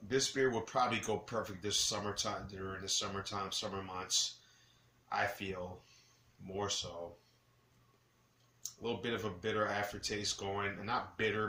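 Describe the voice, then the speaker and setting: A man hosting a casual, rambling beer review, speaking haltingly and often correcting himself. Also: on the low side, bright, forceful tone. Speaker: beer review vlogger, host. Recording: roomy echo with clear background noise.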